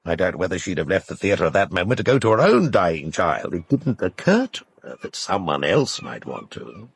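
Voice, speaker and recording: An actor playing an urbane, cynical literary critic in an audio drama, speaking in a dry, articulate, knowing way. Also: male voice, sits low, huge pitch swings, moderate pace, breathy edge, no echo, full character voice. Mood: grave, knowing. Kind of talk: naturalistic audio drama dialogue.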